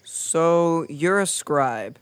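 A man's voice: on the high side, lively intonation, very slow, smooth, dry recording. A man playing a thoughtful, earnest young boy in a children's audio drama. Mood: earnest, curious.

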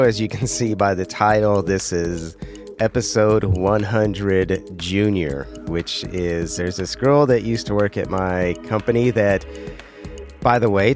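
A middle-aged man with a very low voice, hoarse and congested from a cold. He talks through his week in a loose, rambling, self-correcting way, like a solo podcast host. Faint music sits under his voice. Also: darkish tone. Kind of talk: casual solo podcast talk.

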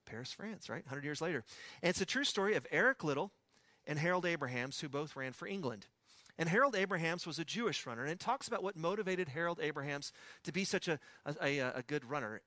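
West texas pastor guy preaching, chatty storyteller, bright punchy voice, dry close sound. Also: fairly high pitch, expressive, fast talker, no echo. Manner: conversational storytelling with asides. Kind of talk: sermon.

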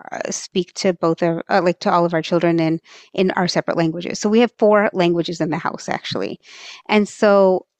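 A woman's voice: on the low side, a little mellow, no echo, lo-fi sound. Earnest woman guest, dry close sound. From Texas. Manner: earnest, thoughtful, with frequent fillers. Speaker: interview guest. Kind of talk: reflective conversational advice.

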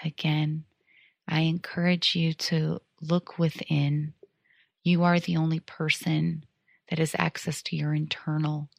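Low, gravelly-voiced woman. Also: no echo, studio quality. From United States. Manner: calm, earnest and encouraging. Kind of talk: reflective monologue.

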